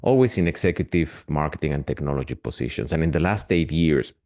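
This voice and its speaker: A man's voice: extremely low pitch, lively intonation, quick pace, darkish tone. A man with a Latin American Spanish accent, speaking in a webinar interview as an AI consultant, friendly and hesitant with many fillers. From Argentina.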